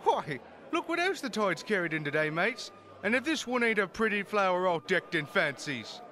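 Leering tavern keeper, animated, folksy pirate talk, fantasy game fan dub, music behind. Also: male voice, high-pitched, moderate pace, full character voice. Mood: jeering, flirtatious. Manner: folksy, mocking pirate-style patter. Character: jovial, leering tavern keeper in a port town.

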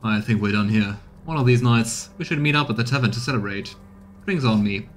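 A man's voice: lowish voice, a little fast, faint music behind, lightly acted. A man voicing a mocking, laid-back dwarf assassin from a fantasy game in a teasing way. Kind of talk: voiced reading of game dialogue.

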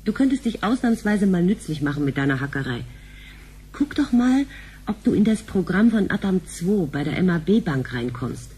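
Sharp-tongued, suspicious sci-fi wife. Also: female voice, lowish voice, big pitch swings, slight background noise. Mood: suspicious, irritated. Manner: brisk, sardonic. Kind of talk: radio drama acting.

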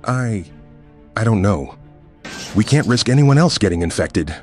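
A man plays a disciplined male chameleon ninja in a comic dub. He is formal and earnest, with a lively range of pitch and music underneath. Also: a little slow. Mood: grave, urgent.

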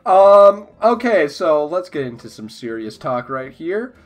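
Unhurried, joking young man. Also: a little high, sing-song, soft background music. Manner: giggly, joking. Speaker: podcast guest. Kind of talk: casual chat while drawing.

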